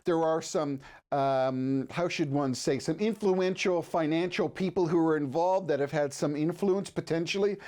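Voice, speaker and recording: A man with a somewhat higher-pitched voice acts as interviewer in a local affairs conversation. He speaks in a measured, probing way and takes his time working up to each question. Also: a little mellow.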